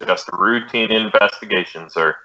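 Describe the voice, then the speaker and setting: An American actor playing a terse, businesslike police detective who narrates an old radio crime drama in a deadpan, polite way. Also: male voice, on the low side, moderate pace. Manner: deadpan, matter-of-fact, polite. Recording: dull, band-limited old radio recording.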